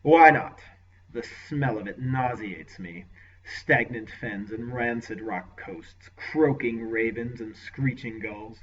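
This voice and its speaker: A man's voice: fairly low pitch, a bit bright, slight background noise. A man voicing a ruthless, scheming lord who speaks with commanding contempt in an audiobook. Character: ruthless scheming male warlord lord, venomous and imperious. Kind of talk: audiobook character voice. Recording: lo-fi dull recording.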